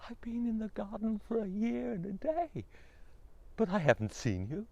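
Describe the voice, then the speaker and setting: A man doing a spellbound wife from a folk tale, lifted voice, urgent and pleading, bit gravelly. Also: sits high, very animated, moderate pace, dull audio, pitched above the performer's own voice, full character voice. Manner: urgent, hushed. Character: enchanted wife turned into a rose, urgent.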